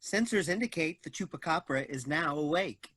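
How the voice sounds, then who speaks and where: A woman's voice: deep voice, animated, normal speed, fairly bright tone, slightly rough, dry recording, full character voice. A woman voicing an officious, literal-minded robot assistant in a stilted, formal manner for a staged comedy reading. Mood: mechanical.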